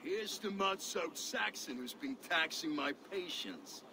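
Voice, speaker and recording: An actor playing a boastful, scornful Danish Viking steward, gravelly and theatrical in his taunts, in a video game cutscene. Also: a little high, big pitch swings, unhurried. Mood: scornful boasting. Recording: game ambience, clear background noise.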